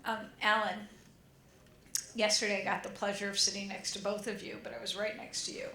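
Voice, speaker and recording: A woman's voice: medium pitch, little pitch movement, a little fast, bright, forceful tone. A woman moderating a conference panel with brief, friendly prompts to the speakers. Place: conference hall. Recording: roomy hall with faint ambience.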